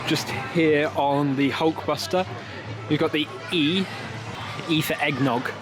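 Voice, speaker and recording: Young British man, higher-pitched voice, music behind. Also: slow. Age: young adult. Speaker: theme park vlogger. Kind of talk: casual vlog chat to camera. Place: outdoors in a theme park. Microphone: camera at arm's length. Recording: outdoor vlog with music behind.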